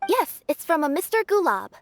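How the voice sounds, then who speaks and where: A woman's voice: very high-pitched, very animated, moderate pace, slight background noise, exaggerated voice. A woman voicing a young cat-girl courier in a video game, courteous, earnest and formal. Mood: polite, solemn. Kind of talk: video game cutscene acting.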